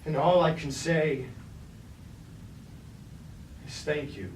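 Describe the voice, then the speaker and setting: An actor playing a fallen robot warlord on stage, grandiose and commanding, in formal, declamatory tragic verse. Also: male voice, on the high side, slow pace, a little mellow. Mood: solemn, resolved. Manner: declamatory, archaic formal speech. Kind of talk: staged reading of mock Greek tragedy. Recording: roomy, distant mic, clear background noise.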